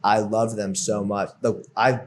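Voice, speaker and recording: A man's voice: on the low side, little pitch movement, measured pace, a little hiss or hum. A young man talking openly as an interview guest, reflective and filling his speech with "like". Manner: open, reflective, peppered with "like". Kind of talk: casual interview chat.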